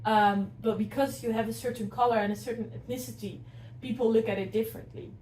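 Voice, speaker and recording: A woman's voice: on the high side, steady tone, fast, darkish tone. Earnest woman councillor in a roomy space. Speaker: city councillor. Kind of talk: casual discussion. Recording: echoey room, mic some distance off, faint noise.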